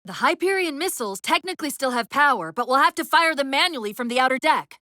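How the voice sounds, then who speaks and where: A female AI companion from a military sci-fi game, speaks in a high, very lively voice. She gives tactical callouts crisply and urgently, with dry wit under the commands. The sound is close and dry. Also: fast talker, dry recording. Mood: brisk, commanding. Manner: crisp, technical, commanding, dryly wry. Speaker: video game voice actor.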